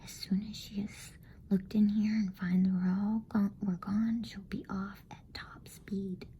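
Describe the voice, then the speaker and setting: A woman's voice: medium pitch, flat delivery, unhurried, mellow, voice pushed up, natural voice. An anxious young girl in a storybook, acted by a woman. She whispers with worried urgency, over faint noise. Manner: anxious, urging. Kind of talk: whispered bedtime storybook reading.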